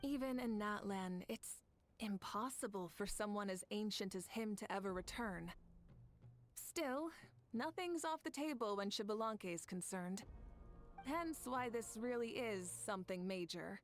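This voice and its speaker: An actress voicing a confident woman leader in a game, calm and reassuring, with game music behind. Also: mid-range voice, measured pace, natural voice.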